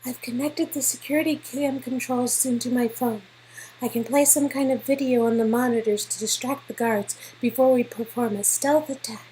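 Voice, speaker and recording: A woman's voice: fairly high pitch, fairly even intonation, moderate pace, warm tone, slight background noise, low-quality recording. A woman reading character dialogue from an interactive game aloud, with casual reactions mixed in.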